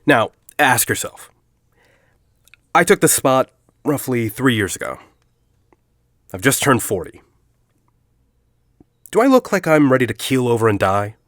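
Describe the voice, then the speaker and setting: An actor playing a forty-year-old university headmaster in an audio drama, a secretive academic who speaks in a deliberate, articulate, confiding way. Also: male voice, medium pitch, sing-song, a bit bright, dry recording, clean studio sound. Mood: guarded, challenging. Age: 40s. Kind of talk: audio drama dialogue and storytelling.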